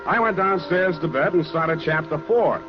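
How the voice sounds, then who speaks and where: An actor playing a wry, easygoing hard-boiled American private detective in 1940s radio drama, narrating and talking in a deadpan way. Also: male voice, fairly high pitch, normal speed, natural voice. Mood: wry narration. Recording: muffled 1940s radio recording with music.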